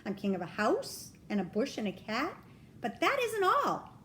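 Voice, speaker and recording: Woman as a pompous, high-swinging turtle king. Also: wildly swooping pitch, moderate pace, fairly bright tone, slight background noise, heavily acted. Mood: commanding. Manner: pompous, exclamatory, commanding. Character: vain, bossy, boastful turtle king. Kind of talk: children's storybook read-aloud.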